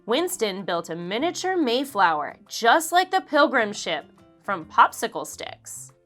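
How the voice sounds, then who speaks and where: A woman reading a children's story aloud in an expressive, deliberate storytelling manner. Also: fairly high pitch, soft background music. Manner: expressive, deliberate, acts out dialogue. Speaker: children's book narrator. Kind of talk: read-aloud storytelling for kids.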